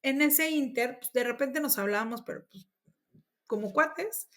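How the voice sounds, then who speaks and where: A woman with a Mexican accent telling her own story in a casual conversation, with lively acted-out dialogue. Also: high-pitched, a little slow. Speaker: interview guest.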